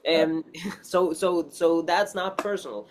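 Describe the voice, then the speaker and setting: A man's voice: fairly high pitch, steady tone, takes it slowly, a little mellow. A man speaking non-native English in a casual interview as an actor, rambling and repeating himself as he gives emphatic advice.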